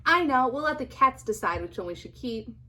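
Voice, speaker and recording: A woman's voice: high voice, animated, a little fast, bright, forceful tone. A woman playing a fussy but caring very old woman in a storybook, speaking emphatically. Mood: decisive. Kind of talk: reader's theater character acting.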